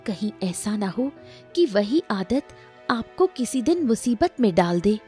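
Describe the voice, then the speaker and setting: A woman voicing a caring young village sister in a story, earnest and gently scolding. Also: medium pitch, very animated, measured pace, faint music behind. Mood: worried, reproachful.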